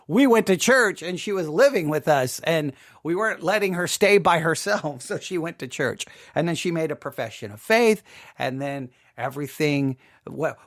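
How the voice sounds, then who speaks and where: A man's voice: fairly high pitch, lively intonation, normal speed, a bit bright, no echo, clean studio sound. A man hosting a podcast with informal personal commentary, emphatic, often repeating and rephrasing himself. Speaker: podcast host.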